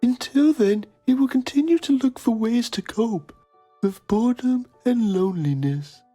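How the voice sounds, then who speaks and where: A self-important television journalist played by a man narrates a mock documentary for a game. His voice is mellow and husky, his manner solemn and pompous. Soft music runs faintly behind him. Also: very high voice, animated, normal speed. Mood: grave, pompous.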